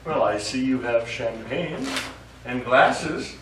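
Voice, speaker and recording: A man on stage plays a self-satisfied, lecherous lawyer, theatrical and leering. His middle-pitched voice comes from a distance in a very echoey hall, with faint noise behind it. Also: expressive, slow pace. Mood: elated, fawning. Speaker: stage actor. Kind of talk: live comic one-act stage play acting. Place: small theatre studio stage.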